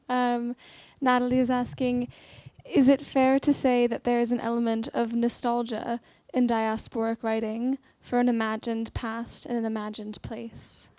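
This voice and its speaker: A woman moderating a library talk, briskly reading out audience questions. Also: fairly high pitch, monotone, a little mellow, no echo. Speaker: event moderator. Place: library event room with live audience.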